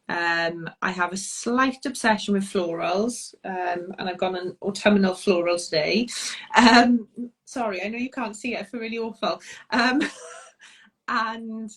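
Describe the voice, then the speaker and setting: Woman, Welsh accent, casual chat, chatty, laughs a lot, video call audio, mid pitch. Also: measured pace, fairly bright tone. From Wales. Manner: chatty, laughs often, many ums. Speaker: guest.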